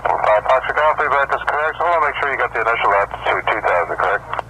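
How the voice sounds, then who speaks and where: A male air traffic controller reading out clearances over aviation radio in clipped, procedural phrasing with phonetic letters. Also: mid-range voice, animated, quick pace. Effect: aviation radio transmission. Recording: noisy airband radio, aircraft engine noise. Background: aircraft noise on an airfield.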